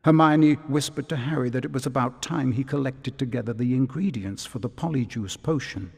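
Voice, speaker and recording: A man narrating a storybook-style fantasy tale for a video game in a scripted, measured manner. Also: medium pitch, gravelly, darkish tone, husky. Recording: long hall reverb.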